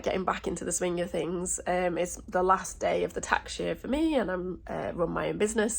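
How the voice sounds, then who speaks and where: Croaky, husky woman vlogger chatting casually. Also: lowish voice. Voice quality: slightly croaky, congested. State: sore throat, stuffy nose. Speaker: travel vlogger. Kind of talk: casual vlog chat.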